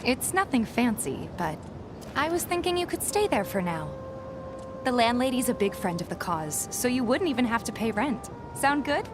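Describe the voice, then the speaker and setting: An actress playing a friendly, caring young woman in a video game, speaking gently and conversationally with slight hesitations. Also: a little high, sing-song, normal speed. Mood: friendly, tentative. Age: young adult. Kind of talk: video game cutscene voice acting. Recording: background music under dialogue. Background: game music.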